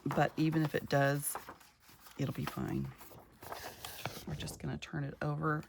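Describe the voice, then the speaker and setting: A middle-aged woman chatting casually while crafting, her voice a little gravelly from just waking up, rambling warmly from one story to the next. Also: sits low, level tone, a little slow, background noise. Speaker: craft vlogger.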